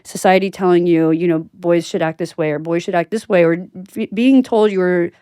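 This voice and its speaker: A woman hosts a casual interview in a slightly low-pitched voice. She is chatty and supportive in how she asks and responds, and she is recorded dry, without room sound. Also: steady tone, brisk, warm tone, clean tone, no echo. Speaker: podcast host, interviewer.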